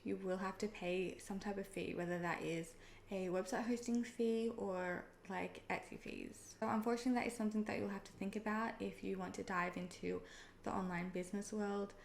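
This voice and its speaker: A woman's voice: medium pitch, fairly even intonation, a little fast, creaky. A young Australian woman explaining things in a friendly, chatty vlog, often saying "um" and "personally". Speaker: online seller and video creator. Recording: echoey room with faint noise.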